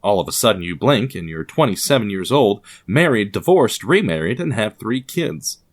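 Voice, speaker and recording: A man's voice: lowish voice, big pitch swings, normal speed. A man narrating scripted fiction in a wry, ominous, formal manner, addressing the listener directly.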